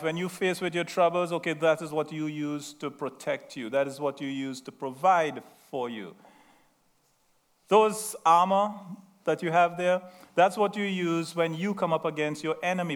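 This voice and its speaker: Man with a Trinidadian accent, middle-aged preacher, sermon with asides, slightly high voice, playful. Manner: chatty, laughing at himself, asks the room "right?".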